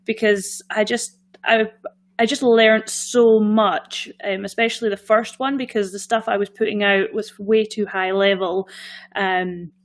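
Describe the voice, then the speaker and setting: A Scottish woman hosting a relaxed interview, her voice in a middle register. She talks chattily, with plenty of fillers along the way. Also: mid-range voice, a little slow. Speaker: podcast host. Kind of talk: casual interview chat.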